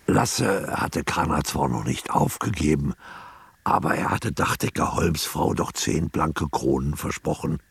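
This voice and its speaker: Very deep, husky, gravelly male narrator. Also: expressive, unhurried, no echo. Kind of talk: literary scripted narration for a radio drama.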